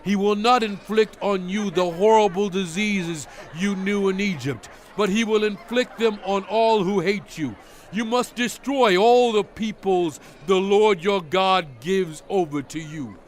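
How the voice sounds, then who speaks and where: An actor playing a solemn, commanding prophet in a dramatized audio Bible, stern and fervent, speaking with deliberate, emphatic oratory. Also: male voice, high-pitched. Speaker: dramatized scripture narrator. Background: music.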